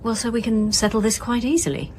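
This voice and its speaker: A woman's voice: fairly high pitch, steady tone, fairly quick, a little mellow, slight background noise, close to an everyday voice. An actress playing a direct, dryly persistent female detective chief inspector, questioning people in a naturalistic radio drama. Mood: politely insistent. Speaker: actor in audio drama.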